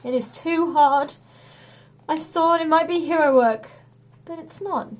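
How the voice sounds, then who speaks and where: A woman's voice: high voice, a little slow, pitched above the performer's own voice. A woman voicing a mighty but dim male Greek hero in a children's storybook, boastful, dramatic and childishly emotional. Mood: miserable. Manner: bombastic, dramatic, prone to wailing.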